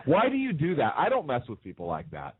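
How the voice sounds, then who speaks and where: A man's voice: mid-range voice, huge pitch swings, brisk, slightly rough, no echo. An American man co-hosting a casual political talk show, telling stories with animation and teasing his co-host. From United States. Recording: dry, phone-like narrow sound.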